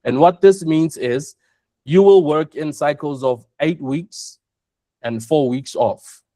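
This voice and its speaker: A South African man presenting an online webinar, speaking in a measured way and repeating his points for emphasis. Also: a little high, mellow, dry recording. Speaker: webinar host, operations chief.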